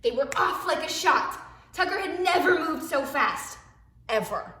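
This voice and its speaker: Woman, preschool teacher, high voice, expressive storybook read-aloud, hushed asides to little listeners, echoey room. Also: measured pace, slight background noise. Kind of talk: animated picture-book read-aloud for young children.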